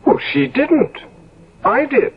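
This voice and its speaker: An actor playing an eternally youthful, corrupted and bitter gentleman killer in 1970s radio drama, with a theatrical, deliberate, sardonic delivery. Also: very high-pitched, big pitch swings, slight background noise, exaggerated voice. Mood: bitter accusing. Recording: dull vintage radio recording.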